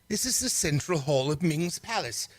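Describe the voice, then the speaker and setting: Man acting a husky, earnest alien prince. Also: fairly high pitch, expressive, a little slow, full character voice. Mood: confident. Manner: earnest, dramatic. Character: earnest male alien prince, heroic ally. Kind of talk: old-time radio serial acting.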